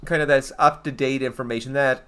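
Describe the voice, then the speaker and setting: A young Canadian man talks loosely through a game livestream, rambling from thought to thought and answering his viewers as he goes. His voice sits at a middling pitch, easygoing and conversational. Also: fairly even intonation, fairly quick, fairly bright tone, clear, resonant tone. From eastern Canada. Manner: chatty, rambling, reads out and answers viewer chat. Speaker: game streamer. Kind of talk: casual livestream chat.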